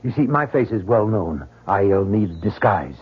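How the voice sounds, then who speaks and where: An actor playing a fervent, haunted Southern soldier in an old-time radio drama, speaking in a halting, earnest way with a husky voice. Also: male voice, lowish voice, very animated, heavily acted. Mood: confident, scheming. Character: fervent Southern soldier, haunted man sure of his miracle. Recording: dull lo-fi old radio recording.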